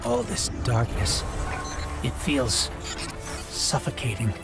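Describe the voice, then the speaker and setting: Small supportive robot companion, sincere video game delivery, middle register, music behind. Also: male voice, mid-range voice, very animated, slow pace, creaky, exaggerated voice. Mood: earnest, worried.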